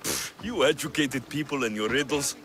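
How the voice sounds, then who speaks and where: An actor playing a confident, wry mercenary warrior of ancient Greece, dry and teasing, in video game dialogue. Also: male voice, medium pitch, normal speed, natural voice. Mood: sardonic.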